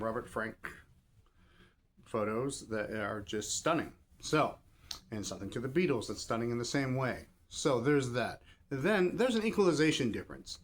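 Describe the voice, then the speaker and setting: A man giving a casual, off-the-cuff review as a shop host, rambling and pausing mid-thought to rephrase. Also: medium pitch. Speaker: record shop seller and host. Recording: clear background noise and ambience.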